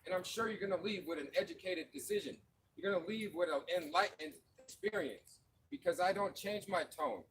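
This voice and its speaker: A man's voice: high voice, animated, brisk, fairly bright tone, a little hiss or hum, rough recording. Brief: man from Florida, raw fervent protest talk, faint street ambience behind. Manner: fervent, profane, slangy. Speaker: protest marcher. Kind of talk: impassioned street speech. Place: outdoors at a night street protest.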